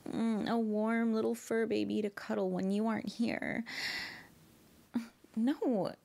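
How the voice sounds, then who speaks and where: A woman's voice: fairly high pitch, flat delivery, takes it slowly, gravelly, warm tone. A young woman playing a bratty, pouting girlfriend in an ASMR audio roleplay, pleading and often dropping to whispers. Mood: pleading, pouty. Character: bratty pouting girlfriend begging her partner.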